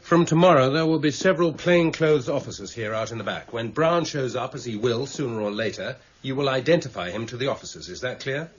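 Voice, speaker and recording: An actor playing a stern, impatient senior English detective in a radio crime drama, clipped and dryly sarcastic. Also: male voice, medium pitch, a little fast, few pauses, slightly rough, lightly acted. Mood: stern, pressing. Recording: dull, noisy radio broadcast copy.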